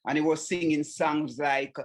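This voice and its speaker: Man, Jamaican accent, fairly high-pitched, relaxed, interview guest. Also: a little slow. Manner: relaxed, often adds "you know what I mean". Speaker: singer and songwriter guest. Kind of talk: interview answers.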